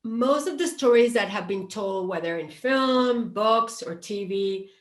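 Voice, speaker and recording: A woman moderating a panel, putting prepared questions in a measured, articulate way, in a roomy recording. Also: mid-range voice. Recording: roomy, echoey sound.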